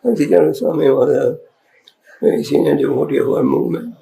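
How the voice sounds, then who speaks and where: An older man with a soft, worn voice, addressing a ceremony in short, halting phrases for a translator to repeat. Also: fairly high pitch, gravelly. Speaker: swami giving initiation.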